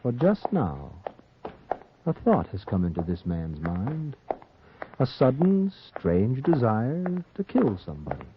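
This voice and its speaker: A man's voice: mid-range voice, huge pitch swings, slow, background noise. An actor playing a sinister, taunting narrator of a 1940s noir radio drama, theatrically addressing a doomed man. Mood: ominous, taunting. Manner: theatrical, ominous, insistent second-person address. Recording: muffled, tinny 1940s radio recording, music behind.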